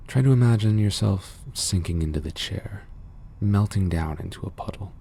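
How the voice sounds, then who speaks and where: Guy playing a shady cyberpunk doc for asmr, super gravelly soft voice, calm n reassuring, bit of background noise. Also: low voice. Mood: soothing, hypnotic. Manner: gentle, measured, formal, reassuring. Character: mysterious, calm, guarded underworld cyberpunk doctor, man. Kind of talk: ASMR roleplay, soothing scripted monologue.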